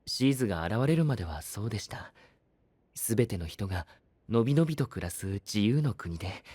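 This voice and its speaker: A man playing a gentle, composed knight in an audio drama, speaking in soft, formal, courteous phrases. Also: lowish voice, lively intonation, brisk, slightly rough, no echo. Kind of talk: anime-style audio drama acting.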